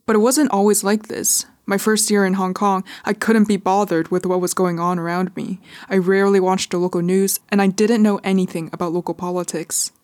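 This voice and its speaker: A woman's voice: mid-range voice, level tone, brisk, hardly any pauses, darkish tone. A young adult woman from California with an American accent, reading scripted narration as a podcast host. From California, United States.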